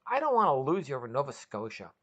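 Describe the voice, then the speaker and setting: A woman's voice: very deep voice, very animated, fast, dull audio, exaggerated voice. A woman voicing a mischievous, fun-loving male alien in a storybook reading, confident and chummy. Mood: excited, chummy.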